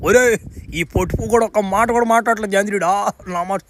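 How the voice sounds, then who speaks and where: A man's voice: high voice, fast talker, slight background noise, natural voice. A man voicing a brash, teasing, foul-mouthed young man in a cartoon comedy. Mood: playful. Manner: cocky, slangy, foul-mouthed.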